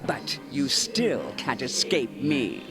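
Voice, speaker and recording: An actor playing a menacing, arrogant eldritch chaos deity in a game, with a grandiose, disdainful, theatrical delivery. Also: male voice, medium pitch, big pitch swings, takes it slowly, music in the background. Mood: menacing, scornful. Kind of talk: dramatic visual novel game acting.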